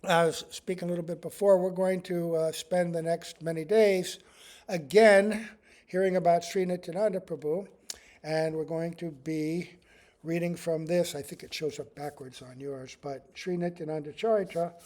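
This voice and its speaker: A man giving a devotional class, talking in a relaxed and rambling way. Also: on the high side. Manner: chants prayers slowly, then talks in a relaxed, rambling way. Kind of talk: devotional class with chanted prayers.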